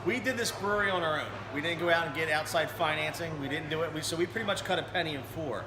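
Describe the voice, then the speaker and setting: A man's voice: a little high, fast. A man who co-owns a small brewery, talking casually in an interview and telling his story with restarts. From Berlin. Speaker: brewery co-owner. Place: brewery taproom. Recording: echoey room with noise.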